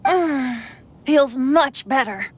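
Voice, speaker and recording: A woman's voice: high voice, lively intonation, drawn-out words, husky, heavily acted. A woman playing a cheerful, cheeky young male bard in an anime game, with a playful, boastful, theatrical manner. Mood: gentle, wistful.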